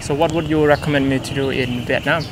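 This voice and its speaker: A young male host from Laos conducts a chatty street interview, answering each reply with an eager "wow" or "mm-hmm." His voice sits at a middle pitch. Steady traffic noise fills the outdoor street behind him. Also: quick pace. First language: Lao. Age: young adult. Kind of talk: casual English interview questions. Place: outdoors on a city university street.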